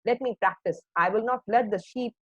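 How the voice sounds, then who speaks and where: A woman's voice: a little high, brisk, breathy edge, no room sound, muffled recording. A woman voicing a greedy male storybook wolf who mutters and gloats to himself. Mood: scheming.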